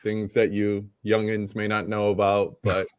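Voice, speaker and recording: A man's voice: fairly low pitch, little pitch movement, a little slow, clean tone. A middle-aged man hosting a live roundtable stream, casually greeting viewers from the chat. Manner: laid-back, shouting out chat viewers. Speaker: host.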